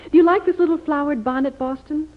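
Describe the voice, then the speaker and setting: Actress as a high-voiced, sweet frontier lady. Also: quick pace, natural voice. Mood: sweet, playful. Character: sweet genteel young frontier lady, warm and coquettish. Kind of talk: 1950s radio drama acting. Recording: muffled old radio recording.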